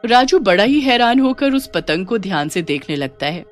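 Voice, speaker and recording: A woman's voice: medium pitch, very animated, moderate pace. A woman narrating a scripted children's ghost story as a storyteller. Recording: music behind the voice.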